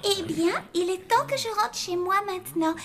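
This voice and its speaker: A woman's voice: very high-pitched, normal speed. A woman voicing a sweet, eager little girl in a French cartoon, polite and enthusiastic. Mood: cheerful, polite. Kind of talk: French cartoon dub acting. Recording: music behind the voice.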